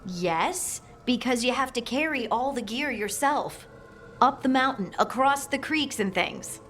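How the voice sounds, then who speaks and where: Lively, high-voiced practical young outdoorswoman. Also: unhurried, faint music behind. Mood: practical, friendly. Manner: plain-spoken, informal. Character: practical, outspoken young outdoorswoman scout, friendly, teasingly exasperated. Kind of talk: video game cutscene acting.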